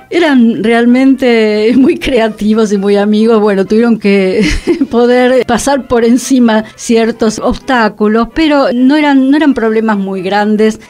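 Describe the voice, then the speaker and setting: A woman's voice: on the high side, a little mellow. A woman with an Argentine accent presenting on radio, explaining theatre history informally through anecdotes.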